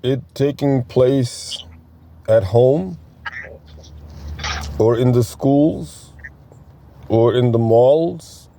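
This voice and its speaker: A man runs a casual group discussion, steering it with follow-up questions. His voice is mellow and relaxed, sitting at an average pitch for a man. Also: drawn-out words, gravelly, pauses often, slight background noise. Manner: probing, asks follow-up questions. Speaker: interviewer.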